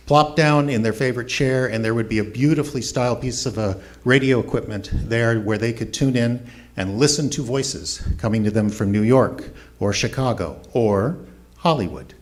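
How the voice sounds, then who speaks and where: A man's voice: medium pitch, moderate pace. A man hosting and announcing a live radio drama show in an old-time broadcast style. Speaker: announcer and host.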